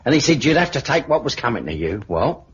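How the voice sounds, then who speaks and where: A man's voice: mid-range voice, sing-song, fast, breathy edge. An actor playing a cheerful, chatty working-class henchman with a Cockney accent and chummy manner, in 1950s radio drama style. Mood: gleeful, chatty. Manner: chummy, casual, slangy. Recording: muffled, noisy 1950s radio recording.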